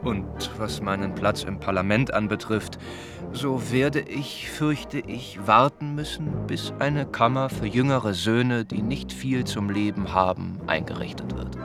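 An earnest young gentleman in a period radio play. His lowish voice is measured and courteous, modest with a touch of wryness, and music plays clearly behind. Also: lively intonation. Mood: gently wry. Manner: measured, polite, gently wry. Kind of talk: German radio drama acting.

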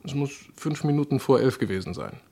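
An actor playing an evasive gentleman doctor in a German radio crime drama, speaking in a courteous, guarded manner. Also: mid-range voice, expressive, fairly quick. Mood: confiding, guarded.

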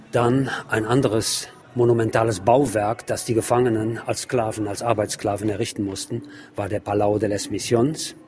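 A man with a fairly low voice narrates an exhibition report as a presenter. He explains things in a measured manner, choosing and placing his phrases deliberately. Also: darkish tone.

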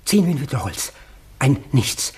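Husky, excitable man. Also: medium pitch, normal speed. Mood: mounting suspense. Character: excitable man vividly imagining a murder scene. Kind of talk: German radio play acting.

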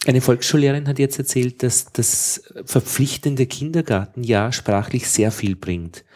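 A man's voice: mid-range voice, measured pace, a little mellow. An Austrian man with an Upper Austrian accent, a radio interviewer telling stories in conversation with many restarts. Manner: anecdotal, restarts often.

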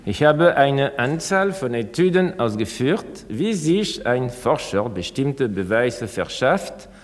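A man playing a contrary, self-effacing experimenter in a staged radio interview, answering tersely and deliberately, with long reverb. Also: medium pitch, sing-song. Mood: dryly contrary.

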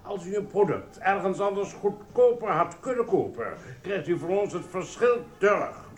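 A man's voice: sits high, expressive, moderate pace, roomy sound, faint music behind. An actor playing a smug, officious assistant supermarket manager in a radio play, a pompous man who loves order. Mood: proud.

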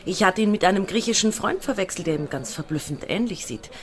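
A woman's voice: medium pitch, expressive, brisk, close to an everyday voice. An actress playing a proud, guarded young lady in a radio drama, speaking in a formal, reserved way. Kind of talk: German radio drama acting.

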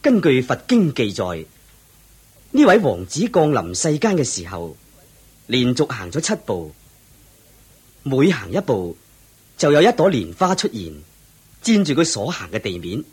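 A man's voice: medium pitch, wildly swooping pitch, measured pace, long pauses, darkish tone, breathy edge. A man narrating a scripted story.

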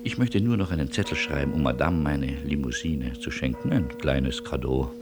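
An actor in a German radio play playing a world-weary refugee with a husky voice and wry, courtly politeness. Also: male voice, very deep voice, normal speed, over music. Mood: weary, resigned.

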